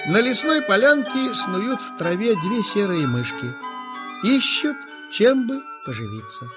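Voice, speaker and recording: A man narrating a children's forest tale, gentle and measured, with a slightly high and very lively voice. He reads slowly, and clear music plays underneath. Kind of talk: scripted storybook narration.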